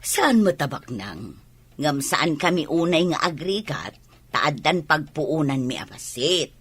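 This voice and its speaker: Raspy, low, animated Ilocano mother acted by a woman. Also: unhurried, full character voice. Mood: defensive, proud. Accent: Filipino, Ilocano. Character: protective, proud middle-aged mother, plain-spoken and hard-working. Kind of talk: amateur audio drama acting.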